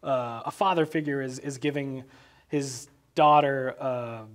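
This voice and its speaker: A man in casual, informal film analysis, speaking haltingly and repeating words as he works out his thoughts. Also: mid-range voice, steady tone. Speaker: podcast co-host discussing a film.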